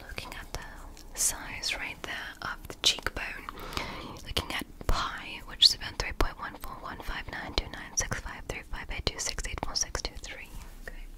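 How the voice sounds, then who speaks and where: A woman voicing a calm, clinical female alien doctor in a whispered ASMR roleplay. She speaks softly and reassuringly, with precise, measured instructions. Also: lightly acted. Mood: soothing.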